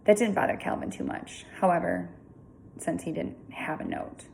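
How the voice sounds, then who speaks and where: A woman reading a children's story aloud in an informal, chatty, expressive way with casual asides. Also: fairly low pitch, normal speed, vocal fry, a little hiss or hum, rough recording.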